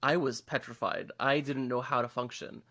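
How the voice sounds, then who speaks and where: A man from Syria with a mid-pitched voice talks as a guest in an interview. He is earnest and open, explaining his creative work conversationally and sometimes acting out his own thoughts as quotes. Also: steady tone, moderate pace, bright, forceful tone. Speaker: interview guest, comic book writer. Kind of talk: conversational interview answers, personal storytelling.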